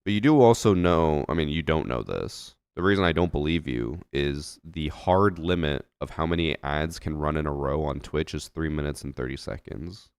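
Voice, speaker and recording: A man's voice: rumbling low, normal speed, hardly any pauses, clear, resonant tone, no room sound, clean studio sound. A young man streaming a shooter game, chatting casually with his chat and thinking aloud with fillers, a little stuffy from a head cold.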